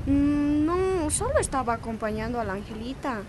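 Woman acting a high-voiced Bolivian teenage girl. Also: lively intonation, moderate pace, background noise. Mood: wary, defensive. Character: guarded, dutiful teenage girl, soft but defiant. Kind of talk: radio drama acting.

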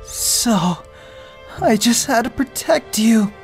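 A performer voicing a gentle, self-sacrificing young creature who is dying, with weak, labored speech in a melodramatic cartoon comic dub. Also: medium pitch, lively intonation, slow, soft background music. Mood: gentle, fading.

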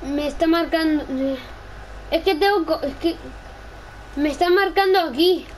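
A woman's voice: extremely high pitch, unhurried. A woman chatting casually while gaming, insistent and often repeating her requests. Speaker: gamer. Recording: faint background noise.

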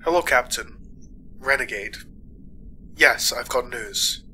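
A man playing a reassuring space-hospital doctor in a sci-fi audio roleplay, formal, measured and clinical. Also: mid-range voice, sharp tone, slight background noise, poor audio. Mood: professional, reassuring. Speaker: voice actor.

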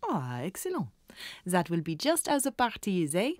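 Kindly French hotel worker, female, deep for a woman, French accent, children's audio drama. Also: huge pitch swings, normal speed, darkish tone, dry recording. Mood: kindly, encouraging. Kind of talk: children's audio story acting.

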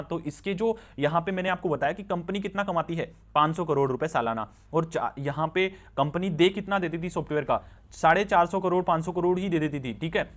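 A man with a fairly high-pitched voice presents financial news as commentary. He explains each point informally, step by step, and keeps checking in with the listener through short repeated tags. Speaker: stock market news presenter. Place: voice-over on slides with handwritten notes.

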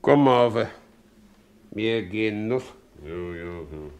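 An actor playing a simple, good-natured ageing carter with a Silesian accent and a folksy manner in a 1960s German radio drama. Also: male voice, fairly low pitch, expressive, takes it slowly, slight background noise. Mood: gruff, easygoing.

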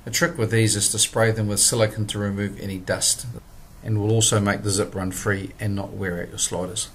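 New man, 50s, low voice, scripted product voice-over, faint noise behind. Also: flat delivery, a bit bright. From New Zealand. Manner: measured, plain instructional delivery. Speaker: product presenter.

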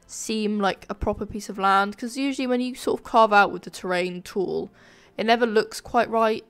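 A woman giving casual, informal commentary over a game building video, sounding nasal and a bit flat from a sore throat. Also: mid-range voice, moderate pace, smooth. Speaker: gaming video creator, narrator.

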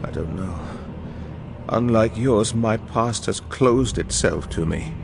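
A man's voice: lowish voice, takes it slowly, vocal fry, over music. An actor playing a confused, haunted male warrior in an audio drama, speaking in urgent, searching phrases. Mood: troubled.

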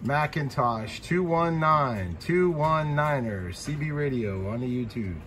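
A man's voice: mid-range voice, expressive, unhurried. A man at a home radio station calling out call signs in a clipped radio cadence. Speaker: CB radio operator. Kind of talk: CB radio calls. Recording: loud static noise, radio audio.

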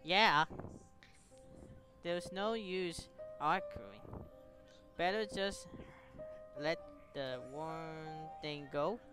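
A man casually commenting on a game playthrough and reading aloud in a halting, stumbling way. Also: on the high side, expressive, music in the background. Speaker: gaming streamer reading along.

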